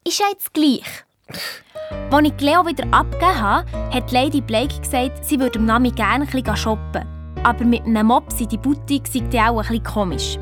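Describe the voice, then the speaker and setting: A woman's voice: on the high side, moderate pace, soft background music, close to an everyday voice. An actress playing a sensible, sceptical young girl with a Swiss German accent, telling her story in an audio drama. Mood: earnest. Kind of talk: colloquial first-person storytelling in an audio drama.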